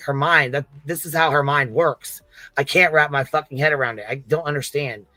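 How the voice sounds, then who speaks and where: A man's voice: fairly high pitch, a little fast, pushed and bright, no echo, soft background music. A man hosting a casual true-crime reaction chat, blunt and exasperated, swearing heavily and repeating phrases for emphasis. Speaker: live stream host.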